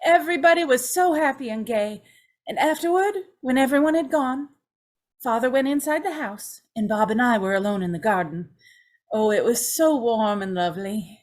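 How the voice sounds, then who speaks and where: A woman's voice: on the high side, normal speed, lightly acted. A woman playing a troubled, pleading young woman in an old-time radio drama, speaking hesitantly and with emotion. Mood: wistful, confiding. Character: distraught young condemned woman confessing to dead mother.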